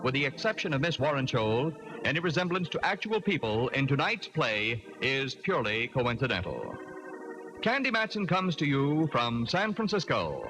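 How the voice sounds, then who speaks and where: A man's voice: mid-range voice, very animated, moderate pace, low-quality recording. A man announcing a 1940s radio show in a formal, theatrical style. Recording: vintage radio recording with prominent music.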